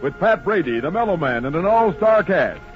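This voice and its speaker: A man's voice: a little high, very animated, moderate pace. An American man announcing a show in the style of 1940s radio. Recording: muffled old radio, music behind.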